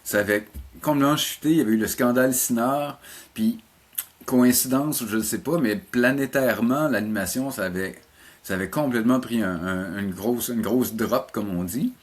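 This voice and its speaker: A man's voice: medium pitch, normal speed. A middle-aged man with a Quebec French accent, chatting casually as an interview guest and often repeating words as he searches for his phrasing. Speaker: animation teacher and programme coordinator, interview guest.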